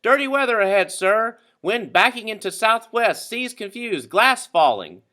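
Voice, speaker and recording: Man voicing a high, plucky mouse sailor. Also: very animated, a little slow, bright, forceful tone, full character voice. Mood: alert warning. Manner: crisp nautical calls. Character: plucky little male mouse sailor, eager and brave.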